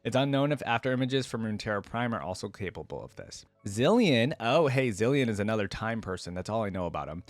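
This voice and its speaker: A man's voice: fairly low pitch, expressive, fast talker, hardly any pauses, no room sound, faint music behind. A man hosting a reaction channel, reading aloud and adding casual, conversational commentary. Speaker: host of a game lore reaction channel.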